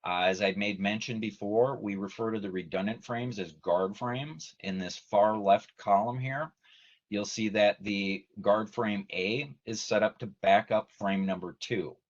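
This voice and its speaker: A man giving a measured, methodical technical explanation as a trainer in an online webinar. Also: fairly low pitch. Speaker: technical trainer, company presenter. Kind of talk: explanatory webinar presentation, semi-scripted. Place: online video meeting. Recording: dull lo-fi video call audio.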